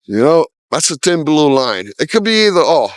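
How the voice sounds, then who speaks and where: A man's voice: on the high side, big pitch swings, normal speed, no echo, clean studio sound. A man in his sixties, originally from Trinidad and Tobago, giving candid, opinionated answers in a casual street interview, with lots of "you know.". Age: 60s.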